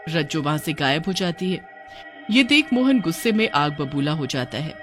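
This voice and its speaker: Woman telling a cartoon story, dramatic, pitch moves a lot, soft music behind. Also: very animated, moderate pace. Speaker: narrator. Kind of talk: scripted story narration.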